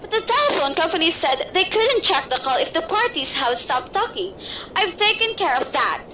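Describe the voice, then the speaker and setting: A woman voicing a nervous, bedridden, wealthy woman in an amateur radio play who talks in a shrill, impatient, pleading way. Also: very animated, moderate pace, noisy background. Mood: frantic insistence.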